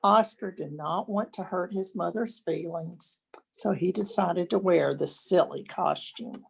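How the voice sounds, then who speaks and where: An older woman, a grandmother, reading a children's picture book aloud expressively, with deliberate dramatic pauses. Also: on the low side, vocal fry, breathy edge.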